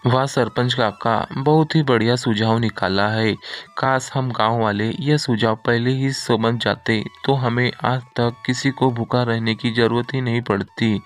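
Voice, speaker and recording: Guy playing a nice helpful cartoon villager, medium pitch, pretty flat delivery, earnest. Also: measured pace, close to an everyday voice. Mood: respectful. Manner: respectful, earnest. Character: generous, earnest, good-hearted male villager. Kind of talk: Hindi cartoon moral-story acting.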